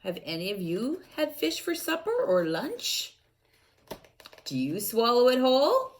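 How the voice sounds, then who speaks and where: A woman reading a storybook aloud to children, animated and asking them questions, sometimes dropping to a whisper. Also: a little high, a little slow.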